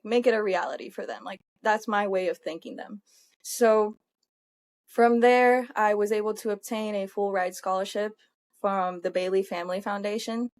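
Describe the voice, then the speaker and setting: A young woman from Puerto Rico, a native Spanish speaker, talks through her answers in a mid-range voice. She is earnest and unhurried, and she pauses and restarts her sentences as she thinks. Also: medium pitch. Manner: earnest, with frequent fillers and self-corrections. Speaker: guest, industrial hygienist. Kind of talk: conversational interview answers.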